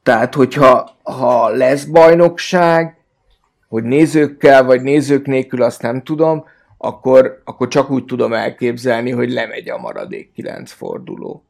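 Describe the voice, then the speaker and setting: A man in a casual podcast discussion, speaking in a hesitant, halting way and often repeating words. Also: medium pitch, expressive, creaky. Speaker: football fan podcast guest.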